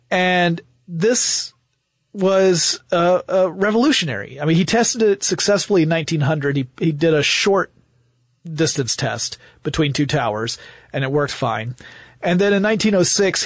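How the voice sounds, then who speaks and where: Guy podcast host explaining tech history, kinda high voice, really dry studio sound, into it. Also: dry recording. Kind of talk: explanatory conversation about technology history.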